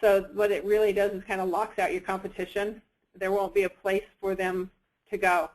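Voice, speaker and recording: A woman giving a conversational sales pitch as a presenter on a conference call. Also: little pitch movement, moderate pace, rough recording.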